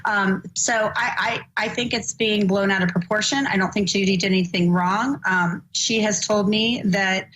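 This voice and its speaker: A woman's voice: mid-range voice, fairly even intonation, moderate pace, few pauses, a bit bright, highs cut off. A woman presenting on a legal news broadcast, earnest and conversational with frequent fillers. Her voice is worn and fading, and a faint hum of ambience sits behind her. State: losing her voice. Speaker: legal news show host. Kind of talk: recounting on a broadcast.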